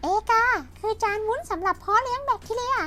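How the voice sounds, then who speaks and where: Tiny, squeaky cartoon sidekick. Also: female voice, fast talker, bright, forceful tone, faint music behind. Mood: brisk interjecting. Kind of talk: cartoon educational aside.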